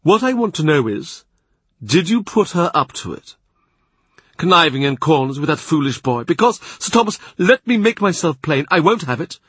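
A man voicing a domineering, blustering powerful cardinal, husky and sarcastic. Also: a little high, sing-song, normal speed, no room sound, pitched above the performer's own voice, full character voice. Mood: imperious. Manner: forceful, imperious, sarcastic.

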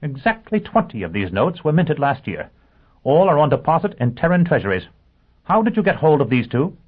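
A man's voice: fairly high pitch, very animated, fairly quick, slightly rough, pitched above the performer's own voice. Guy doing a snappy doubting lawyer in an audiobook, swoops up and down, sounds like down a phone line. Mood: brisk, startled. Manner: incredulous, clipped questioning, pompous at times. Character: brisk, skeptical, sharp-tongued male lawyer.

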